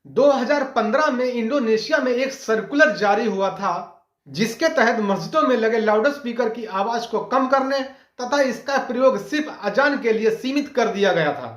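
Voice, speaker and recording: A man with a distinctly high-pitched voice narrates a scripted news explainer. He speaks as a presenter reading prepared copy to viewers. The recording is noticeably roomy, with echo around the voice. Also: moderate pace.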